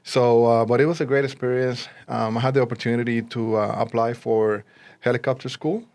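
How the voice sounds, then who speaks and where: A man's voice: medium pitch, level tone, fairly quick, darkish tone. A man in his forties from Puerto Rico, with a Puerto Rican accent, answering interview questions in a conversational way as a guest. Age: 40s. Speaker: interview guest, realtor and army veteran.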